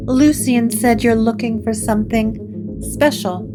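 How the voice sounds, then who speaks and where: A woman playing a gentle, wise occult shop proprietor in a gothic audio drama, unhurried and reassuring. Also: fairly high pitch, steady tone, pitched above the performer's own voice, natural voice. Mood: gentle, calm. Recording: clear music bed under the voice.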